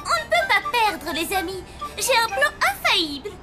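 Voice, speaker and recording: Very high, bossy cartoon kid. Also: female voice, sing-song, moderate pace, music in the background. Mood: excited, scheming. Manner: cocky, teasing. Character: bossy, excitable scheming zombie kid.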